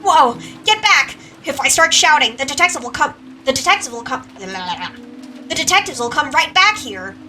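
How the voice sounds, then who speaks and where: A woman's voice: high-pitched, lively intonation, moderate pace, sharp tone, far from the mic, slight background noise, voice pushed up. A woman playing a frightened young lady who accuses someone and calls out for help. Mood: alarmed.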